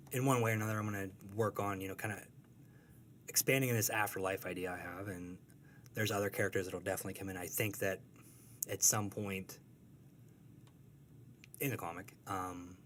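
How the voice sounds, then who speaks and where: Male interview guest, lowish voice, informal and rambling, faint ambient noise. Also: fairly even intonation, normal speed, pauses often, a bit bright. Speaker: comic creator, interview guest. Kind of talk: casual conversation.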